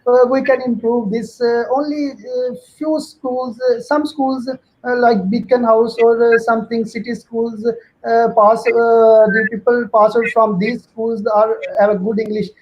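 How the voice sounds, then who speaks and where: A man's voice: very high-pitched, flat delivery, unhurried. A Pakistani-accented man, a native Urdu speaker, asking his tutor questions hesitantly with many "uh"s. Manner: hesitant, many "uh"s and repeated "yes". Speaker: student. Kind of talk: online tutoring session. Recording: muffled call audio with faint noise.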